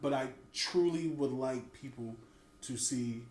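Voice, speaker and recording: A man co-hosting a casual podcast, talking in a relaxed, joking way. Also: medium pitch, a little slow. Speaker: podcast co-host. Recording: echoey room with faint noise.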